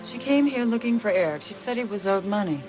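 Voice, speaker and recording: A woman acting as a defensive wife and mother in her forties, distraught and protesting. It is crime-drama dialogue at a middling pitch, with clear music underneath. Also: animated, fast talker, natural voice, low-quality recording. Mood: guarded. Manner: pleading, protesting.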